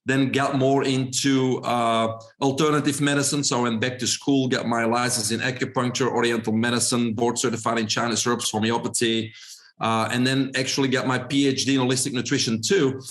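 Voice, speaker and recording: A man in his forties from Belgium with a Belgian accent, a podcast guest who tells his story in a relaxed way with frequent fillers. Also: mid-range voice, brisk. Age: 40s. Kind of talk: podcast interview.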